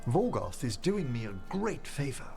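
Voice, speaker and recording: An actor playing a courteous, genteel middle-aged necromancer in a fantasy video game, speaking formally and earnestly. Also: male voice, medium pitch, very animated, normal speed, vocal fry, a little mellow, faint music behind.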